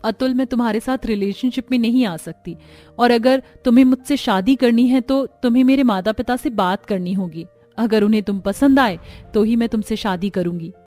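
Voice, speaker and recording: A woman's voice: on the high side, normal speed, mellow, soft background music, natural voice. A woman voicing an earnest, outspoken young female student in a cartoon story. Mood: firm.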